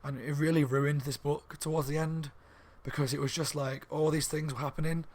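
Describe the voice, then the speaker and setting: A slightly hoarse man reviewing a book in a rambling, casual chat at home, with lots of ums and restarts. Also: a little high, little pitch movement, moderate pace, darkish tone. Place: room at home by a window on a main street.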